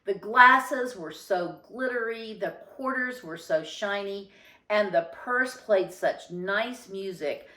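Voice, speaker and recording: A woman reading a children's story aloud with expressive, deliberate and emphatic phrasing. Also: medium pitch, distant mic. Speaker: storybook reader. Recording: roomy, echoing sound.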